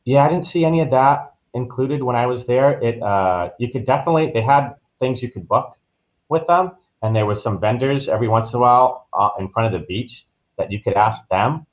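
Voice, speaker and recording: A man's voice: mid-range voice, a little fast, muffled recording. A man giving casual, easygoing, anecdotal answers as an interview guest. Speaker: travel agent, interview guest.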